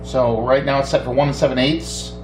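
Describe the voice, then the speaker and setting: A middle-aged man casually demonstrating electronics at a workbench, thinking aloud in an unhurried way as he works. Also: mid-range voice, little pitch movement, music in the background. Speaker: electronics repair hobbyist, host. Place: small indoor home workshop at a bench. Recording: roomy, distant mic with background noise.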